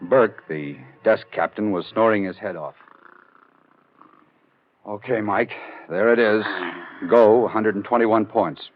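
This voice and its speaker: Tough newspaper crime reporter narrator. Deep, vintage radio drama acting on a muffled old recording. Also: male voice, slow, pauses often. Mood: dramatic, confident. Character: hard-boiled male police reporter narrating his story. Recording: dull old radio broadcast, faint music.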